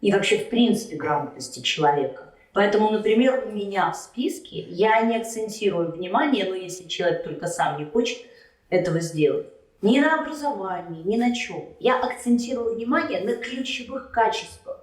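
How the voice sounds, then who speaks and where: Woman arguing hard in a debate, sharp comebacks, sounds far off and echoey. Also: medium pitch, animated, fairly quick. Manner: combative, sharp retorts. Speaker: debate candidate. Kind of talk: heated political debate. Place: Kyiv.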